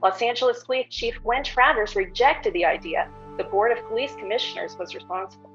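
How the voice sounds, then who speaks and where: A woman's voice: a little high, fairly quick, fairly bright tone, natural voice. A woman playing a composed TV field reporter, formal and newsy, in a script read. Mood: measured. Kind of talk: news report in a screenplay table read. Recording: dull lo-fi audio with faint music.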